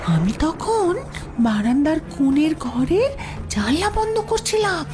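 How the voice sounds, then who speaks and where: Casting: devoted young maidservant, very high voice, animated swings, fretful, fairy-tale audio drama over music. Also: female voice, measured pace. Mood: anxious, earnest. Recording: music under the voice.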